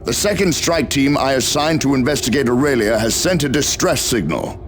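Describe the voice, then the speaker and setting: Grave captain, widely swinging pitch. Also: male voice, big pitch swings, noisy background. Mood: grave, commanding. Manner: stern, formal, deliberate briefing. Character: grave, authoritative male space marine captain. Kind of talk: video game cutscene voice acting.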